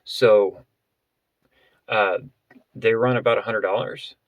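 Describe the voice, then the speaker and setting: A man vlogger explaining gear in a casual, unscripted way, halting and often rephrasing as he goes. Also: lowish voice, steady tone, no room sound. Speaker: vlogger presenting a budget gear setup.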